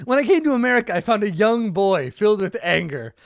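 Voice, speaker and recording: A man's voice: high voice, sing-song, fast talker, no echo, studio quality, pitched above the performer's own voice. A man reading the lines of a stern older male kung fu master who scolds his former student, in a grave tone. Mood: scolding.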